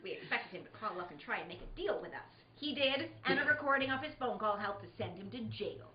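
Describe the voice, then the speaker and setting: A woman voicing an eager, naive young male superhero sidekick in a comic radio play, with an excitable gee-whiz delivery. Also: a little high, quick pace, close to an everyday voice. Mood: earnest. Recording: roomy, dull sound with some noise.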